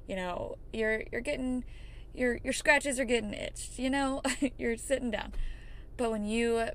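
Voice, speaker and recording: A woman's voice: on the high side, gravelly. A young woman with a scratchy voice hosting a casual faith podcast, talking earnestly and chattily straight to her listeners. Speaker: podcast host.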